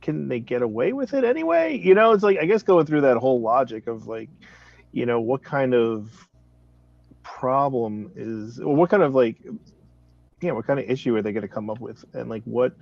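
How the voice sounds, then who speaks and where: A man's voice: mid-range voice, animated, normal speed, vocal fry, no echo, muffled recording. A man chatting casually as a panelist, hesitant and rambling as he thinks aloud. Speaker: talk show panelist. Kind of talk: casual chat.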